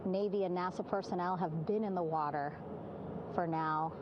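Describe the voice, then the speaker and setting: A woman's voice: lowish voice, steady tone, moderate pace, highs cut off. A woman giving live event commentary from aboard a ship at sea. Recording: loud vehicle-like ambient noise.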